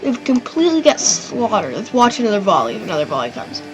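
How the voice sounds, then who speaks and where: A woman hosting her own channel, giving loose, excitable commentary on a strategy game battle, rambling and swearing now and then. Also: mid-range voice, quick pace, soft background music, low-quality recording. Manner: rambling and excitable, swears, does silly voices. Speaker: gaming channel host.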